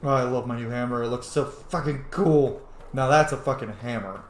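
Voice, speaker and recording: A man's voice: mid-range voice, moderate pace, echoey room, slight background noise. A man giving casual, blunt, profanity-laced commentary as he plays a game. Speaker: let's play streamer.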